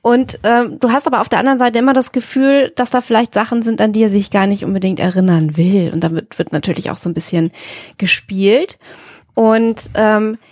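A woman's voice: mid-range voice, fairly even intonation, brisk, a little mellow, no room sound, clean studio sound. A woman co-hosting a podcast in conversational discussion. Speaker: podcast co-host.

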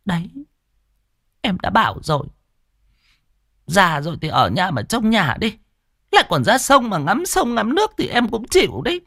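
A man voicing a frantic middle-aged village wife with a shrill, high voice. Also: big pitch swings, normal speed, vocal fry, long pauses, fairly bright tone, no room sound, studio quality, full character voice. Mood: scolding. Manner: wailing then nagging in a high shrill voice.

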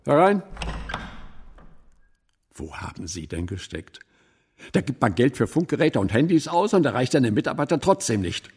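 An impatient police chief in a radio play. His husky voice swings up and down as he blusters sarcastically and throws out pointed questions. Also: medium pitch, big pitch swings, moderate pace, long pauses, a little mellow. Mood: irritated. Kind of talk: radio drama acting.

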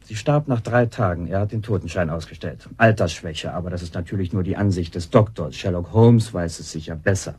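A man's voice: low-pitched, fairly quick, few pauses, a little mellow, slightly rough. An actor in a German radio drama playing a smug, mocking con man who talks with sardonic, theatrical politeness. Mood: smugly triumphant.

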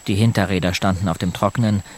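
An actor narrating a first-person story of boyhood in a German audio drama, plain and measured. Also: male voice, low voice, steady tone, a little mellow, slight background noise, close to an everyday voice. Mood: observant. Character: male narrator looking back on a rough boyhood. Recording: faint music behind.

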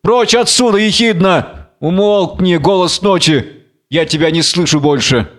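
A man's voice: high voice, a little slow, fairly bright tone, voice pushed up. A man playing a tormented, proud captive strongman, declaiming and brooding in a biblical tragedy. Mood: tormented defiance. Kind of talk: dramatic reading of a biblical tragedy.

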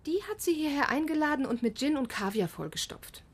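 A young eager actress. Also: female voice, sits high, expressive, a little fast. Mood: chatty. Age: young adult. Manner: chatty, gushing, a little nervous. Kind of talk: German radio drama acting.

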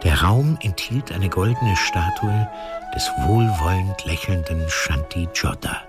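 A man playing the calm narrator of a fantasy radio drama, reading scripted prose. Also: deep voice, measured pace. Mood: storytelling. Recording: music behind the voice.

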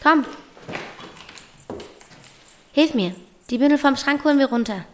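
A woman's voice: high voice, lively intonation, a little slow, darkish tone. A woman playing a brash, defiant thirteen-year-old girl in a radio play, with a pushy, snappy, slangy way of talking. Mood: insistent. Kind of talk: German radio play acting.

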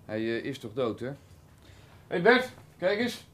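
A man's voice: mid-range voice, very animated, unhurried, fairly bright tone. A man acting in a radio drama as a jaunty, wisecracking police photographer, chatty and dry.

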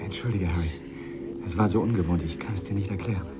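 An actor playing a driven, excitable scientist in a radio drama, with an urgent, commanding manner. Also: male voice, low voice, fast, close to an everyday voice. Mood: tense, absorbed. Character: driven, excitable male scientist absorbed in a daring experiment. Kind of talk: 1970s radio drama acting.

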